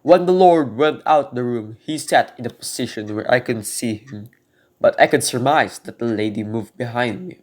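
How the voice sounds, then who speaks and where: An uneasy burglar, acted by a man, with a medium-pitched voice. He sounds earnest, like a witness telling his tale in an amateur radio play. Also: moderate pace, close to an everyday voice. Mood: solemn testimony. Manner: earnest, storytelling. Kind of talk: amateur radio drama acting.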